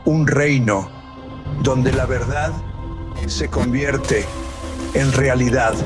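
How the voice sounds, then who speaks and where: A male host speaks very slowly and deliberately over soft music. He introduces the guest in a dramatic, scripted way. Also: mid-range voice. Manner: slow, dramatic delivery.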